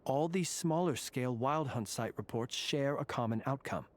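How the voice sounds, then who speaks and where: An actor playing a calm, observant young investigator in a video game, speaking in a measured, analytical way. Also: male voice, mid-range voice, a little hiss or hum, close to an everyday voice. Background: faint game music.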